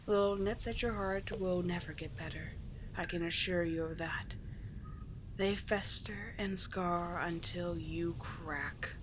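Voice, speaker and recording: A woman playing a cunning, doting sea witch in an ASMR roleplay, breathy and measured, with coaxing, condescending endearments. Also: lowish voice, close to an everyday voice. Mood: grave, knowing. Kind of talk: ASMR roleplay, scripted monologue. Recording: clear steady background ambience noise.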